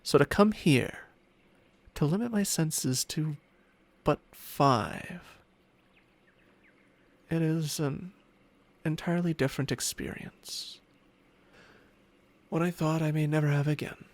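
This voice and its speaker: A man's voice: fairly high pitch, slow pace, pauses often. A man playing a smug, possessive ancient dragon in human form, teasing and condescending in an intimate ASMR roleplay with formal, archaic phrasing. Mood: wistful, musing. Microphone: close microphone, spatial ASMR recording.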